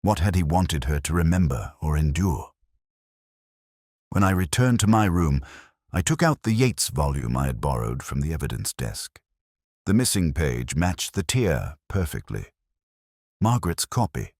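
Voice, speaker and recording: A man playing a brooding, melancholy older police detective, narrating a literary drama in the first person in a measured, reflective, formal way. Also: a bass voice, big pitch swings, darkish tone, dry recording, clean studio sound, full character voice. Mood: somber, reflective.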